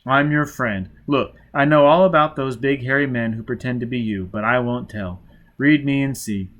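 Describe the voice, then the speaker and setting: An audiobook fugitive acted by a man with a medium-pitched male voice. He is driven and a little unhinged, with an insistent, mocking edge. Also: moderate pace, highs cut off, close to an everyday voice. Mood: coaxing, impatient. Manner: chanting, insistent.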